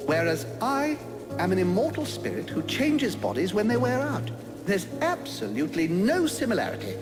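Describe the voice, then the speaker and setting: A flamboyant immortal undead spirit from a video game, bored with eternity and theatrically droll. The voice sits fairly high and swings widely in pitch, with loud music behind it. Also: male voice, huge pitch swings, moderate pace. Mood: droll, amused.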